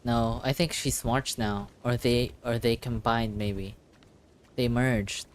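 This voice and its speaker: A streamer giving casual live reaction commentary on a game, invested and excitable, muttering reactions. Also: mid-range voice, slow, slight background noise.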